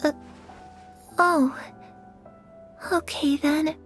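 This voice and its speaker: A woman's voice: high voice, fairly even intonation, drawn-out words. A woman voicing an innocent, curious young girl in a video game, candid and trusting in a childlike way. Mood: candid, accepting. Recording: music under the voice.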